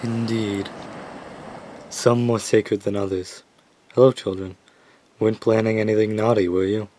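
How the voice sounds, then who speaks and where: An actor playing a patronizing, sinister asylum doctor with measured delivery in an audio horror drama. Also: male voice, on the low side, flat delivery, mellow. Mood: menacing. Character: smooth, condescending, sinister male asylum doctor.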